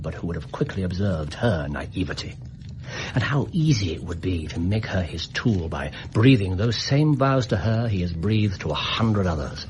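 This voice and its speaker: A male actor plays a brisk British detective in a radio drama. The voice is on the low side, theatrical and exact, laying out deductions with total authority. Faint noise sits behind it. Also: a little mellow, natural voice, low-quality recording. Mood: assured, grave. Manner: precise, authoritative, theatrical exposition.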